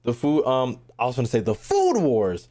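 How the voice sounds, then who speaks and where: A man's voice: medium pitch, wildly swooping pitch, moderate pace, warm tone. A man doing casual live gameplay commentary as a streamer, informal and profane, sometimes reading on-screen text aloud. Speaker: game streamer. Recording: game music behind the voice.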